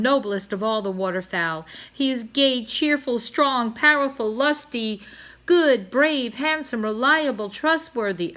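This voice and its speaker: A woman's voice: fairly high pitch, bright, forceful tone. Lady reading as a puffed up dramatic daddy swan, storybook style, big flowery speeches. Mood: proud, boastful. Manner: grandiose, florid declaiming. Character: pompous, grandiloquent father swan, passionate and theatrical. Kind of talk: storybook read-aloud.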